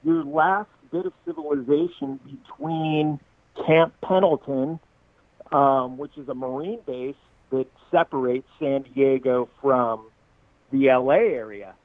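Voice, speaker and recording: A man's voice: mid-range voice, slow pace, dry recording. Brief: forties man from Southern California, musician guest, loose and joking, phone-thin and dry. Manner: rambling and jokey, laughs often. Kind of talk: casual podcast interview. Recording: tinny, dry phone-like line.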